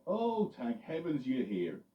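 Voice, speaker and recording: A man's voice: a little high, wildly swooping pitch, normal speed, distant mic, a little hiss or hum, voice pushed up. A man voicing a worried, grateful middle-aged farmer. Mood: fretful. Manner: relieved, fretful. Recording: muffled, with music behind.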